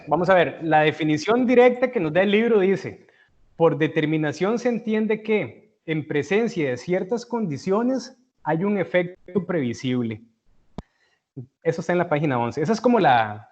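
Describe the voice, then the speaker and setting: A Costa Rican man explains a lesson to his students in a slightly high voice, working through everyday examples and often pausing to check that they follow. The sound is dull and lo-fi, as from an online call. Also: a little mellow. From Costa Rica. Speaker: teacher.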